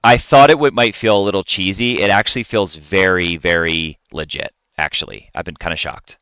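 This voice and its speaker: A man's voice: low voice, moderate pace, bright, forceful tone, smooth, dry recording, muffled recording. A man talking casually and enthusiastically, in an explanatory way, as a podcast co-host on video games.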